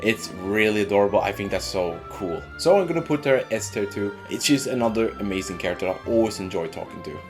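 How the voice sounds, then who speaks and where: A male game reviewer talks casually with very animated pitch movement. His voice sits a little low, and he gives his opinions in an informal, emphatic way. Faint music plays underneath. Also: brisk, hardly any pauses. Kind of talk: casual opinion commentary, ranking characters. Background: background music.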